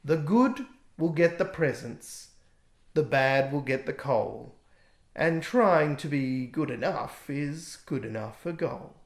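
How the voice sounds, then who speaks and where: A man reading aloud his own rhyming picture book in a gentle, expressive way. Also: fairly high pitch, takes it slowly, creaky. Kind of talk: rhyming storybook narration. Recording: echoey room.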